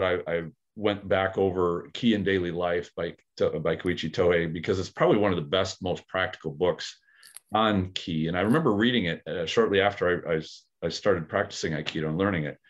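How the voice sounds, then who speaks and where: Low-voiced guy musing on a podcast, lots of ums and restarts, kinda muffled lo-fi audio. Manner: thoughtful, hesitant, many fillers and restarts. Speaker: aikido practitioner, podcast co-host. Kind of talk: casual podcast discussion.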